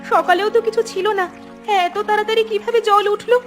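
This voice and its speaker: A woman's voice: very high voice, steady tone, fast talker, soft background music. A woman voicing a timid, anxious younger sister-in-law in a village cartoon, fretful and full of questions. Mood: alarmed. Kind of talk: cartoon voice acting.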